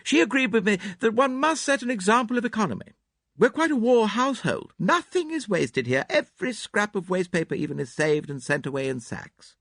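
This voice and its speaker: A man playing a grand elderly lady presiding over her household, high and fluttery in a storybook reading. Her voice swoops widely as she exclaims and gives orders. It sounds close and dry. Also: big pitch swings, fairly quick, a bit bright, no room sound, full character voice. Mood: gracious. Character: gushing elderly woman, imperious lady of the house.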